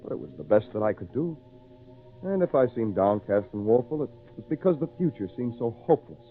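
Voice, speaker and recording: An actor playing a lonely, earnest castaway in an old radio drama, with theatrical, deliberate phrasing. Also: male voice, mid-range voice, sing-song, faint music behind, natural voice. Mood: brooding, hopeless. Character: lonely castaway man, brooding, earnest, excitable. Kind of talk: old time radio drama narration and acting. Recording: tinny, noisy old radio recording.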